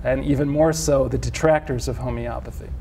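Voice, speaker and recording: A middle-aged man from Switzerland, a physician and homeopath, giving measured explanatory interview answers with frequent restarts. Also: mid-range voice, fairly even intonation, vocal fry, darkish tone, a little hiss or hum.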